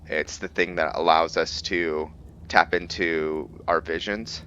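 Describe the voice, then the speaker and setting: A man with a very low, bright-edged voice hosts a roleplay game video. He talks casually and thinks aloud, pausing and feeling his way through sentences. Faint noise and ambience sit behind him. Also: steady tone, lo-fi sound. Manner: thinks aloud, halting, trailing pauses. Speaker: gaming video host.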